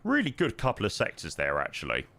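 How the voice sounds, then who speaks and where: A man with a hoarse, croaky voice, chatting casually to his stream audience and rambling with lots of ums. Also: fairly low pitch, huge pitch swings, fast, bright, forceful tone. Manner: rambling, self-interrupting, frequent ums. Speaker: flight sim streamer.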